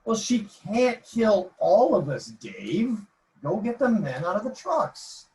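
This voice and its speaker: A man's voice: high voice, big pitch swings, takes it slowly, dark tone, full character voice, rough recording. A man playing a crude, threatening rebel gang boss who gives commands in a screenplay table read. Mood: indignant.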